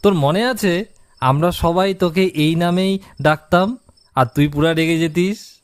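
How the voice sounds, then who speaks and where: A man's voice: a little high, measured pace, clean tone, no room sound, close to an everyday voice. A man playing a teasing, familiar young male friend with an eerie side in a horror cartoon. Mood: teasing, casual. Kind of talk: Bengali horror cartoon voice acting.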